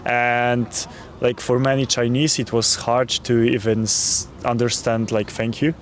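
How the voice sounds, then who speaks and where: Polish-accented male tourist, halting answers, street recording with light traffic. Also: medium pitch, level tone. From Poland. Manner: hesitant, many fillers. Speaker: interviewee, tourist. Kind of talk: street interview. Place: city street outdoors.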